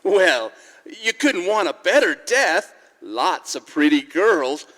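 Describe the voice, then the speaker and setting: A man acts a carefree teenage lad in a vintage radio play. He is breezy and excitable, very high and leaping in pitch, with the hall ringing around him. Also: takes it slowly, exaggerated voice. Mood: breezy excitement. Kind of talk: 1940s radio drama acting. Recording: reverberant church hall, live stage.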